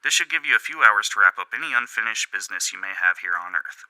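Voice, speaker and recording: A man's voice: lowish voice, expressive, fast talker, bright, forceful tone, no echo, heavily acted, low-quality recording. A man voicing a male collection-agency caller with scripted, sing-song customer-service politeness. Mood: officious, polite.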